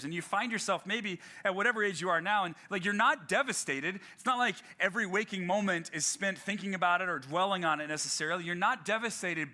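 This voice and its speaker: Bright-voiced, chatty male pastor. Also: sits high. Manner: conversational, with frequent fillers and restarts; self-deprecating humor. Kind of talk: sermon.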